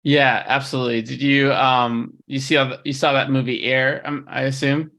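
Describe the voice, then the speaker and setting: A man's voice: medium pitch, flat delivery, moderate pace, fairly bright tone. A man co-hosting a podcast, chatting casually and teasing the guest. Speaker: podcast co-host. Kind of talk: casual chat.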